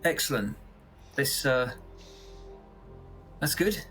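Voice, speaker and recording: A man playing a harried station executive who gives halting, placating instructions in a sci-fi role-play. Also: medium pitch, expressive, fairly bright tone, faint music behind.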